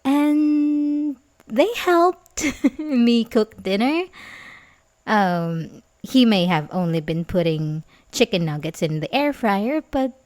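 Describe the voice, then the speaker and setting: A woman's voice: on the high side, lively intonation, slow pace, a little mellow. A young woman acting an ASMR roleplay as a sweet, coaxing, secretly obsessive babysitter, speaking hesitantly and intimately with little giggles. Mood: giggly, fond.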